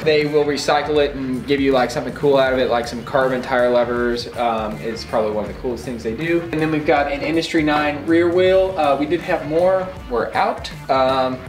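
A man's voice: medium pitch, moderate pace, few pauses. Guy in his 20s doing a laid-back shop tour, slangy and into it, bit far from the mic, music behind. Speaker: bike shop staffer giving a tour. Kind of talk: casual product walk-through to camera. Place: indoors in a bike shop. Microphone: camera a few feet away. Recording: roomy echo, distant mic, music behind.

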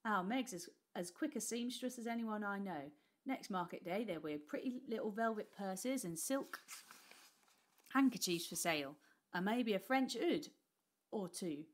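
A woman reading a storybook aloud, putting on a rough, mocking old widow of the London poor who talks coarse and brash. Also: medium pitch, lively intonation, unhurried, natural voice. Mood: scheming. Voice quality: coarse, scoffing delivery with dropped sounds.

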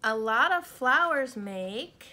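Very slow, higher-pitched woman reading to children. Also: animated, sharp tone. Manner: addresses listeners as friends, pauses before key words. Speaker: children's book reader. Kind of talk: slow read-aloud of a picture book for children. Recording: clear background noise, home recording. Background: background noise.

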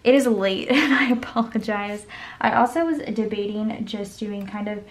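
A young woman with a voice going hoarse chats casually while she decorates her planner at a desk at home. She rambles and counts under her breath as she works. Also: medium pitch, moderate pace, noisy background. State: losing her voice. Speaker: planner vlogger. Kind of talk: casual plan-with-me chat. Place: home desk, camera overhead.

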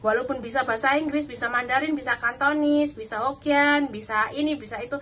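A bright, high-pitched woman with a thick Javanese accent talks casually to the camera over breakfast. She chatters on easily and laughs often. The sound comes from a phone set on the counter in a large kitchen. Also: brisk. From Java, Indonesia. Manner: chatty, laughs often, mimics others' voices. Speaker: domestic worker vlogger. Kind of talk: casual chat to camera while eating breakfast. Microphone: phone camera on the table.

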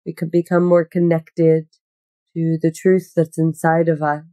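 A woman guiding a meditation in a gentle, unhurried, soothing manner with long pauses. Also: low voice, flat delivery, no room sound. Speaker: yoga nidra meditation guide. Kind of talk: guided meditation.

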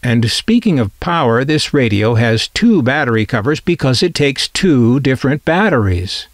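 A man's voice: mid-range voice, very animated, normal speed, no room sound, studio quality. A man presenting a collector's item in informal scripted narration, with a wry, dryly humorous manner. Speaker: presenter of a vintage radio collecting channel.

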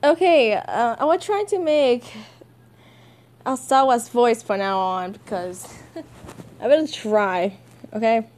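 A woman's voice: on the high side, animated, takes it slowly, long pauses, darkish tone. A woman dubbing comics as an amateur, chatting casually, swearing and giggling at herself. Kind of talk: casual fan comic dub with chatty asides.

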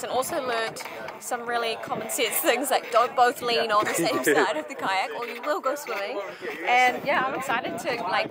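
Female travel vlogger, thirties, fairly high voice, relaxed chat, outdoors with people talking behind. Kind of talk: casual vlog chat. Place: outdoors, by water and on trail. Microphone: handheld camera at arm's length.

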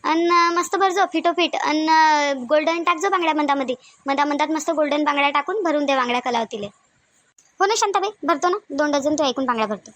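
A woman's voice: high-pitched, fast talker, dry recording, natural voice. A woman voicing chatty, bossy village women in a Marathi cartoon comedy, with a Varhadi accent and a colloquial, nagging manner. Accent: Varhadi Marathi of Vidarbha.

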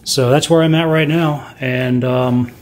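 A man's voice: mid-range voice, steady tone, a little slow. A middle-aged man talking casually as he cuts and sews canvas at home, explaining each step and speaking frankly about his troubles. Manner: plainspoken, frank, talks while doing hands-on work. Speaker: sailing channel host. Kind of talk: casual how-to narration while working. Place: indoors in a home, kitchen and living room.

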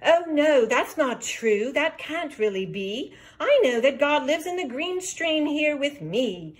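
An older woman voicing an excitable, insistent female fish in a rhyming storybook, speaking emphatically. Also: sits high, takes it slowly, pitched above the performer's own voice, full character voice. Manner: emphatic, contradicting.

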